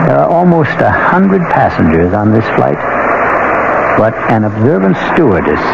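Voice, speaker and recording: A man hosting and narrating a 1970s radio mystery, with deliberate, theatrical pauses. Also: lowish voice, creaky, heavy background noise. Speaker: host and story narrator. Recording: muffled 1970s radio recording. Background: loud music.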